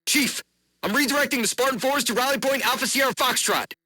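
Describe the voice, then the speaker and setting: A man's voice: extremely high pitch, a little fast, bright, forceful tone, a little hiss or hum, exaggerated voice. A man voicing a firm, loyal military commander in a video game, giving clipped orders. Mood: firm, urgent.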